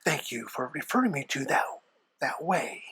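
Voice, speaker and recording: Husky, creaky, sly old devil put on by a performer. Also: medium pitch, sing-song, bright, forceful tone, full character voice. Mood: sly, menacing. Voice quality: husky, whispery. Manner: formal, deliberate, darkly threatening. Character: the Devil, a sly, haughty, menacing old boss. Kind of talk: in-character podcast guest banter.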